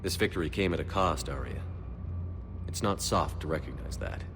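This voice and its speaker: An actor playing a stern, principled space soldier commander in a video game, firm and earnest. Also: male voice, sits low, animated, moderate pace, noisy background. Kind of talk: dramatic video game acting. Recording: studio voice under loud music.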